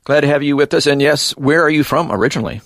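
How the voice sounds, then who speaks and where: A man's voice: on the high side, fast, a bit bright, no echo, clean studio sound. A man interviewing a guest in a casual, conversational style.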